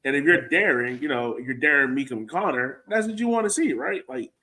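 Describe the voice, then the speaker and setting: A man's voice: on the high side, lively intonation, fairly quick, pushed and bright. An American man co-hosting a soccer podcast, thinking aloud in an informal, conversational way with plenty of "like". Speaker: podcast co-host and soccer supporter. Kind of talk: casual sports chat.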